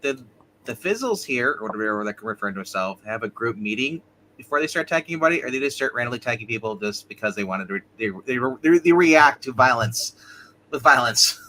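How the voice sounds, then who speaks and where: A man's voice: mid-range voice, expressive, quick pace, bright, forceful tone, a little hiss or hum, low-quality recording. A man playing a tabletop roleplay game, talking casually in a blunt, questioning manner.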